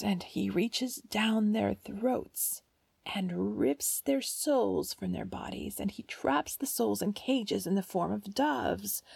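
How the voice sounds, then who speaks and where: A woman voicing a frightened, sorrowful old woman held prisoner, speaking in a slow, hissing whisper. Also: medium pitch, vocal fry, darkish tone, slightly rough, no echo, exaggerated voice.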